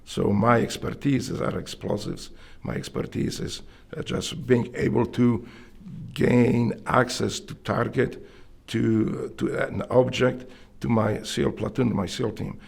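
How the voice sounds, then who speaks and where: A middle-aged man with a Polish accent, earnestly telling his story in an interview, often halting and restarting his sentences. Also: mid-range voice, steady tone, creaky, darkish tone, breathy edge. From Poland. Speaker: interviewee, Navy SEAL veteran.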